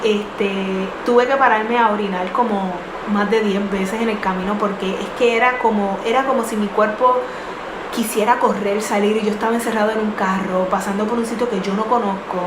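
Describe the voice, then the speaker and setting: A woman's voice: medium pitch, steady tone, moderate pace. Earnest young woman telling a story. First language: not English. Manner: earnest, many fillers and restarts. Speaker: vlogger. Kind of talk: personal storytelling. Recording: echoey room with noise.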